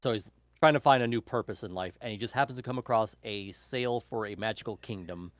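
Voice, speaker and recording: A man in a book club casually explaining a recommendation in a halting, meandering way. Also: lowish voice, no echo. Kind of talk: casual chat, recommending books.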